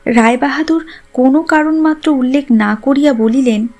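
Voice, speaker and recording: A woman's voice: sits high, normal speed, a little mellow, faint music behind. A woman narrating a literary story a script.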